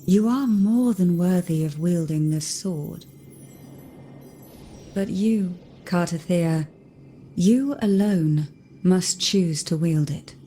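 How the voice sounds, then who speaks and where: An actress playing a calm, knowing woman guardian in a fantasy game, speaking in a measured, solemn way. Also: on the low side, faint music behind.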